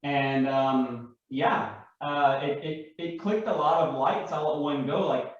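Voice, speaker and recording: A man's voice: fairly high pitch, takes it slowly. Male hobbyist panel guest, casual storyteller, heavily echoing, muffled distant recording. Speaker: live action roleplay enthusiast, panel guest. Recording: very echoey room, distant mic, muffled.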